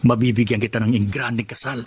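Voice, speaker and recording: A man's voice: medium pitch, fairly even intonation, fast, husky. An actor playing a greedy young man who schemes and wheedles insistently in a Filipino melodrama. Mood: insistent wheedling. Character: scheming, greedy young adopted son coaxing his sweetheart.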